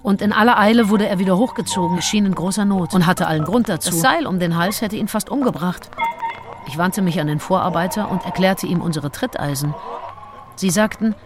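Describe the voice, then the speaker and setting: An actress playing an observant, opinionated nineteenth-century woman traveller, telling her journal in a measured way for a radio drama. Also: lowish voice, over music, close to an everyday voice. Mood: factual, concerned. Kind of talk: literary radio drama monologue.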